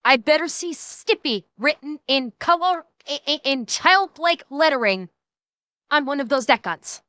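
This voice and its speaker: A woman's voice: high voice, expressive, a little slow, sharp tone, no room sound. A woman giving emphatic, interjecting live-stream reaction commentary, sometimes in a mock-commanding way. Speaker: reactor commenting on a comedy video.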